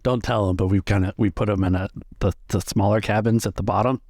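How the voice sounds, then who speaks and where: Casting: man as seasoned, folksy deckhand for fantasy game, lowish pitch, chatty and laid-back. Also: fairly even intonation, quick pace, darkish tone, dry recording, clean studio sound. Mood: gossipy, candid. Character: Patty, easygoing veteran male sailor on a trading ship.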